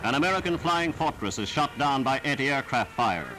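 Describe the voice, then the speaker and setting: A man's voice: medium pitch, animated, moderate pace, heavy background noise. A man reading scripted 1940s wartime newsreel narration in a formal, dramatic, declamatory manner. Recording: vintage 1940s newsreel soundtrack.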